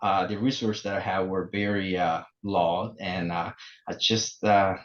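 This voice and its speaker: A man in his forties from El Salvador, speaking English, telling his story as a podcast guest with many pauses and fillers. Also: deep voice, flat delivery, fairly bright tone. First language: Spanish. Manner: halting, many fillers and restarts. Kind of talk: casual interview. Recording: muffled lo-fi remote audio.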